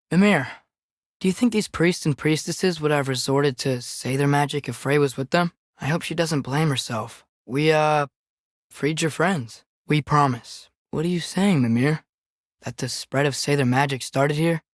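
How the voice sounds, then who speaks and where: A man's voice: a little high, dry recording, studio quality, lightly acted. A young man voicing an earnest, curious teenage boy adventurer in a video game, with natural, inquisitive phrasing. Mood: earnest, concerned. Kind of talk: video game voice acting, companion dialogue.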